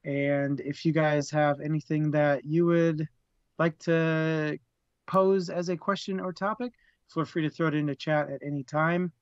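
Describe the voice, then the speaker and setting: A man's voice: a little high, flat delivery, measured pace, smooth, no echo, lo-fi sound. A man co-hosting a live book discussion stream, chatty and laughing often, sometimes tripping over his words. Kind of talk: casual live-stream chat, plugs and rundown.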